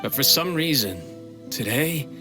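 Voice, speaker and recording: An actor playing an anxious young manservant in an anime game, humble and deferential, often stammering. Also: male voice, medium pitch, lively intonation, measured pace, over music. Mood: worried. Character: anxious, deferential young male servant, loyal and flustered.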